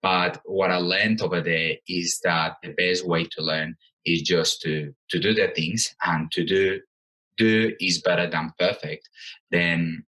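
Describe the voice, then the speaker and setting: A very low-pitched man from Colombia, Spanish his first language, speaking with a bright, forceful edge. He answers interview questions in a thoughtful, halting way, pausing on fillers and starting phrases over. He sounds like a guest in his thirties. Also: steady tone, low-quality recording. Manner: thoughtful, hesitant with many fillers and restarts. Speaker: guest, company co-founder.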